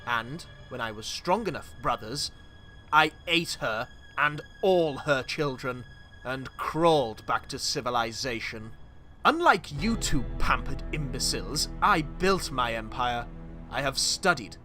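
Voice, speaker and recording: A man voicing a cold, scheming elderly villain in a comic dub, dry, measured and scornful. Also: mid-range voice, animated, pushed and bright, faint music behind, poor audio. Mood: cold, brooding. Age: very old.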